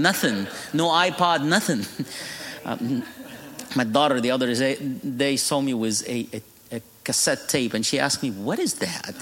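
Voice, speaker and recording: Egyptian-accented preacher in a reverberant hall. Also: male voice, medium pitch, a bit bright. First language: Arabic. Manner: humorous storytelling, laughing at his own jokes. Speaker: guest preacher. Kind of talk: sermon testimony. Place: church.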